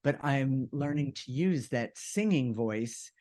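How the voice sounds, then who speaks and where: A man with a deep voice talking casually and earnestly, with emphasis, as co-host of an unscripted discussion show. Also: expressive, slow pace, darkish tone.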